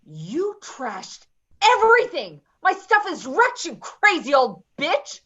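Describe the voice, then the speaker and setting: A woman's voice: high voice, huge pitch swings, takes it slowly, a bit bright, dull audio, heavily acted. A woman acting in a play reading as a volatile, foul-mouthed woman who makes confrontational, threatening demands. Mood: furious, threatening.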